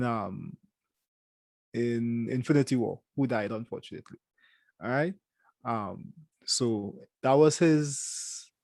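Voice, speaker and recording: A young man with a Trinidadian accent, co-hosting a casual show review and talking in halting bursts with many restarts. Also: mid-range voice, gravelly, a little mellow, no echo. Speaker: co-host.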